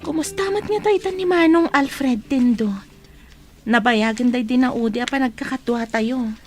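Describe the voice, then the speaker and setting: Female coworker actress for radio drama: chatty, sympathetic, high-pitched. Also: moderate pace, a little mellow, soft background music, lightly acted. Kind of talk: Ilocano radio drama acting.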